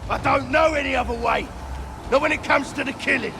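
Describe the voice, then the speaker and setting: A man playing a fierce, bloodthirsty soldier in a video game, rough, excitable and combative. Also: extremely high pitch, a little fast, heavy background noise. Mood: aggressive. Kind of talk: video game battle barks. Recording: loud music and gunfire behind.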